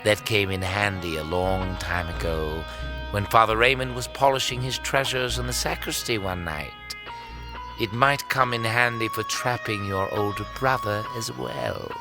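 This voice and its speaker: An actor playing a sadistic, gloating villain in an audio drama, smug, taunting and menacing. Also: male voice, lowish voice, measured pace. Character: sadistic, gloating male villain holding captives. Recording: music under the voice. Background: dramatic background music.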